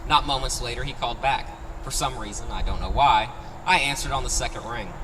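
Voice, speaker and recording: A man's voice: medium pitch, brisk. A man reading his own short story aloud in a conversational, first-person style at a live event. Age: 30s. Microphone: handheld microphone, picked up from afar. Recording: distant, reverberant live recording with street noise.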